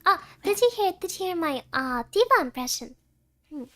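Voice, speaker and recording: Casting: female virtual streamer, tiny sky-high voice, cute and playful, casual co-stream banter with Japanese interjections. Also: big pitch swings, normal speed, a bit bright. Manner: cutesy, peppers talk with Japanese interjections.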